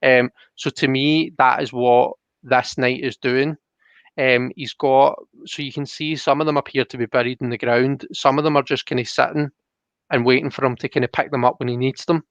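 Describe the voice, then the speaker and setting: A man hosting a live card reading, talking casually and explaining as he thinks aloud, with halting phrasing. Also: mid-range voice, steady tone, smooth, dry recording, muffled recording. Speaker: host of a live tarot reading.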